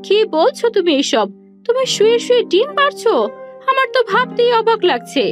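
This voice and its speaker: A woman's voice: very high-pitched, big pitch swings, a little fast, fairly bright tone, faint music behind, heavily acted. A woman playing a foolish, earnest young village wife in a cartoon, asking things in a naive, literal-minded way. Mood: naive, puzzled. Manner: naive, wide-eyed questioning. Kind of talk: cartoon voice acting.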